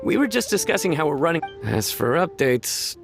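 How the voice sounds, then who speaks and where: Game town guard, friendly and apologetic, animated pitch, clear music underneath. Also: male voice, normal speed. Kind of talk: video game voice acting.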